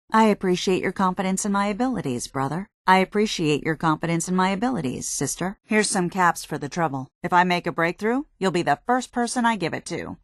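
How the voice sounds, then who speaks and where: An actress playing a dedicated, drily impatient military research scientist in a video game, precise, matter-of-fact and slightly prim. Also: female voice, on the low side, no room sound, clean studio sound, close to an everyday voice. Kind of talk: video game character dialogue.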